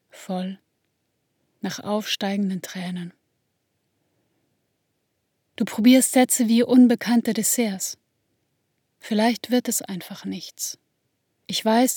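An actress playing an introspective woman in her thirties, narrating a literary radio play in a measured, reflective way. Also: mid-range voice, a little mellow. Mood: sad, subdued. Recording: music under the voice. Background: musical instruments.

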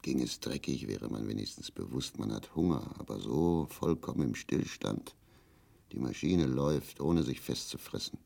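Very deep, gravelly old husband. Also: male voice, moderate pace, mellow, exaggerated voice. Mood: melancholy, self-pitying. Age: older. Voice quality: gravelly, husky. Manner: grumbling, declamatory, self-pitying. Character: bitter, drunk, lonely old East German husband and grandfather. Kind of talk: grotesque radio drama dialogue.

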